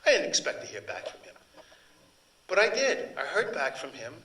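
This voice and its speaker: A man's voice: fairly high pitch, huge pitch swings, normal speed, sharp tone, a little hiss or hum. An older man, a translator whose first language is Sicilian, telling rambling anecdotes with many restarts at a book event. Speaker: translator and author. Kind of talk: storytelling talk at a book event. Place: event hall. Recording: echoey hall, mic from far.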